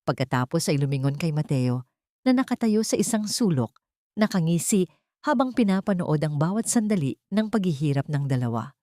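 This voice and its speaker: A woman's voice: deep voice, big pitch swings, normal speed, mellow, no echo, clean studio sound. A woman reading a scripted audiobook story as a dramatic narrator.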